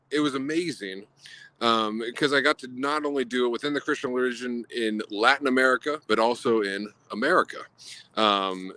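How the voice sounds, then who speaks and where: A man from Guatemala telling a personal story in an earnest, reflective way, pausing often with fillers over a video call. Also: fairly low pitch, sharp tone, dry recording.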